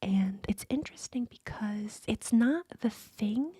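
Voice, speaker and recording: A woman co-hosting a podcast in a soft, breathy ASMR-style whisper, chatting close to the microphone. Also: on the high side, unhurried, no room sound. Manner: whispering and speaking softly. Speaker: podcast co-host.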